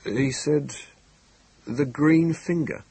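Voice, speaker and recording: A man voicing an earnest, excitable police superintendent who recounts events emphatically in a detective audiobook. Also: fairly high pitch, takes it slowly, creaky, husky, dry recording, pitched above the performer's own voice, full character voice. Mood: grave, hesitant. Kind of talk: dramatised audiobook reading of a detective story.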